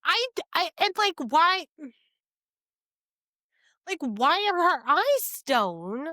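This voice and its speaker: High, bright, unhurried woman asking questions. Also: sing-song, no room sound, clean studio sound. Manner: questioning, puzzling things out aloud. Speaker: podcast co-host reviewing books. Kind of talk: casual book discussion.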